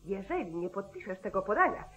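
Strident, swooping campaigner. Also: female voice, medium pitch, sing-song, brisk, fairly bright tone. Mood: insistent. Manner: insistent, preachy. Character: strident, bigoted female petition campaigner. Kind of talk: 1940s Polish radio play acting. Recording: thin, phone-like old radio recording.

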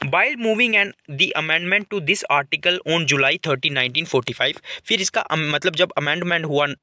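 A man giving a line-by-line newspaper editorial lesson, reading English sentences slowly and then explaining them in Hindi. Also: a little high, sharp tone, no echo, clean studio sound.

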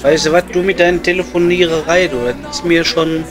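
A man plays a coarse, blunt Ruhr coal miner in a pub comedy. His male voice sits high and chats in broad Ruhr German dialect, with music clearly playing behind it. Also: flat delivery, fairly quick, lightly acted. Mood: exasperated. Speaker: comedy performer. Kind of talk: improvised audio comedy, casual banter.

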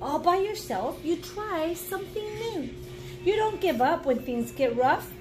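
A woman in her forties reading a children's book aloud like a teacher, encouraging and pausing to explain and ask the listener questions. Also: very high-pitched, animated, over music. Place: small indoor room at home.

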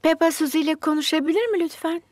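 Cartoon mother pig female actor: high-pitched, gentle, cheerful, motherly. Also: quick pace, dry recording, clean studio sound, natural voice. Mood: gentle, reassuring. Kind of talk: preschool cartoon dubbing.

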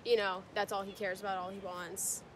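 A woman's voice: mid-range voice, flat delivery, brisk, a crowd in the background. A young woman answering a street interview on a campus lawn, blunt and self-assured with casual slang. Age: young adult. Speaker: student interviewee.

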